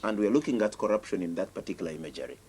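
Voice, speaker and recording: A man's voice: fairly low pitch, animated, racing, darkish tone, slight background noise. A man, a guest from a theatre troupe, earnestly explaining a play on a radio show. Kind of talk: radio interview.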